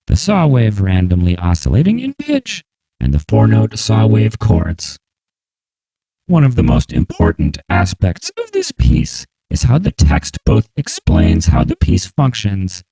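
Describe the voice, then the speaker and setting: A narrator explaining an electronic music piece in slow, exaggerated, very proper enunciation, processed through a vocoder with shifting synthesizer tones. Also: warm tone, rough recording. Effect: vocoder, randomly shifting synthesizer pitch and chords. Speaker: composer narrating own piece.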